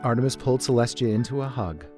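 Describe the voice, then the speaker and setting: A man's voice: medium pitch, fast. A man reading scripted story narration as the narrator of an audio drama. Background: music.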